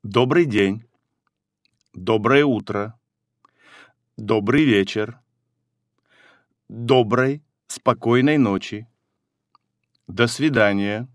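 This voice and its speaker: A man reading phrases for a language course, one by one with pauses, in a careful, clearly enunciated delivery. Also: mid-range voice, dry recording, studio quality. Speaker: phrasebook reader.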